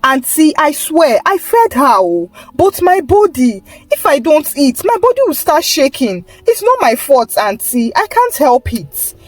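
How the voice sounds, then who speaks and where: A woman's voice: sits high, big pitch swings, measured pace, hardly any pauses, no room sound, pitched above the performer's own voice. A woman voicing a greedy, eager young niece in a folktale, full of pleading excuses.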